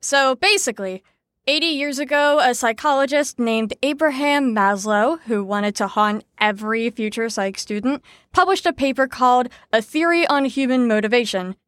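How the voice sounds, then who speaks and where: A woman's voice: fairly high pitch, moderate pace, a bit bright, dry recording, clean studio sound, close to an everyday voice. A woman playing a sarcastic, breezy psychology teacher in an audio drama, with a mock-cheerful manner. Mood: explaining.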